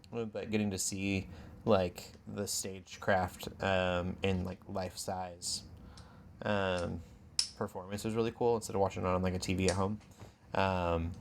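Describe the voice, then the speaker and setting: A man chatting casually as a podcast co-host, informal and halting as he thinks aloud. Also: low-pitched, vocal fry, slight background noise. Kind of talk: casual chat.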